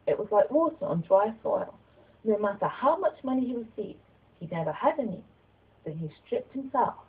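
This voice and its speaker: A woman reading literary narration aloud as an audiobook reader, with a slightly husky voice. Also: fairly high pitch, phone-quality sound.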